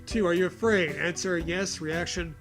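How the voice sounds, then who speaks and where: A man's voice: sits high, moderate pace, fairly bright tone, faint music behind. A man reading an online horror story aloud as a comedy co-host, dropping mocking, profane asides.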